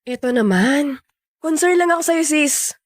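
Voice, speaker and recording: Blunt, high-voiced protective young sister. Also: female voice, expressive, unhurried, no room sound, studio quality. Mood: skeptical, concerned. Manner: blunt, probing. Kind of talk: Filipino radio romance drama acting.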